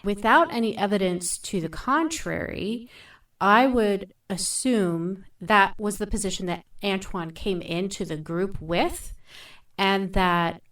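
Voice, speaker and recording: A woman in a thoughtful podcast discussion, speaking in a deliberate, measured way with emphatic phrasing. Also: medium pitch, fairly bright tone, distant mic.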